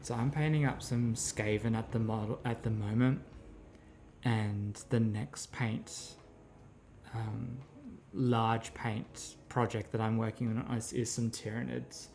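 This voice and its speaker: Slow-talking man with a scratchy voice. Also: medium pitch, fairly even intonation, vocal fry, a little mellow. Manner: slow, rambling, answers viewer chat. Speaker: streamer. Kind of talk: casual chat while painting miniatures.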